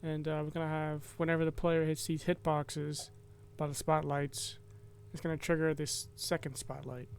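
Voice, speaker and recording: Flat-toned young-sounding man narrating, slightly high. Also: normal speed, creaky, smooth. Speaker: game developer and video host. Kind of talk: casual devlog narration.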